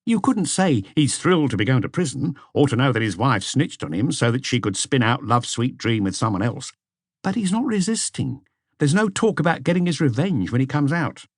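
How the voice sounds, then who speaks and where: A man's voice: medium pitch, very animated, normal speed, no room sound, studio quality, voice pushed up. A man voicing a younger, eager police inspector in an audiobook, chattily reporting to his superior. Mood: amused, confiding. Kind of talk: audiobook character dialogue.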